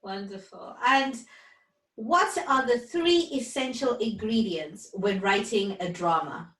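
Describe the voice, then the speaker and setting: A woman's voice: medium pitch, lively intonation, slow pace, fairly bright tone. A woman co-hosting an informal interview and putting questions to a guest, heard from a distance in an echoey room. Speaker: co-host.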